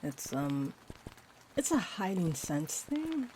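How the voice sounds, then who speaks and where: A woman playing a sweet, shy female vampire in an intimate roleplay audio, rambling gently and hesitantly. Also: lowish voice, slow pace. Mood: shy, apologetic. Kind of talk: roleplay audio, intimate one-sided chat. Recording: steady rain-like ambient noise. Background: rain ambience.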